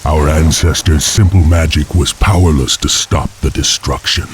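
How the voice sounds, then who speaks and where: An actor playing a solemn, vengeful heir of an enslaved warrior clan, speaking gravely and deliberately in a dramatic video game style. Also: male voice, extremely low pitch, steady tone, background noise. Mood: solemn, mournful. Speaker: story narrator and lead character. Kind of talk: dramatic scripted game narration and dialogue.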